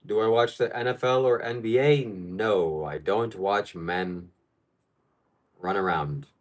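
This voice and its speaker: A man's voice: lowish voice, takes it slowly. A man from Ukraine hosting a live stream, answering viewer questions in casual, blunt and opinionated chat.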